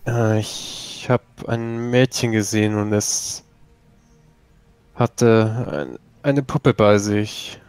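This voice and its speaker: A man playing a tabletop role-playing game in a casual, halting way. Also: lowish voice, a little mellow, smooth, no room sound. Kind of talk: casual tabletop role-play, partly in character.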